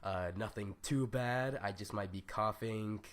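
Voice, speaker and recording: Raspy, stuffed-up young man, rambling casually. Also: lowish voice, measured pace. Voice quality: scratchy. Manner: loose, rambling, with many ums and slang. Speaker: gaming tutorial youtuber. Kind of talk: casual screen-recorded tutorial.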